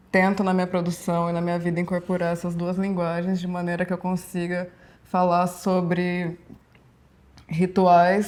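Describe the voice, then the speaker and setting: A woman in her twenties from Brazil, a performance artist speaking reflectively in a documentary interview, with measured, slow pauses. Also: on the low side, a little mellow. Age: 20s.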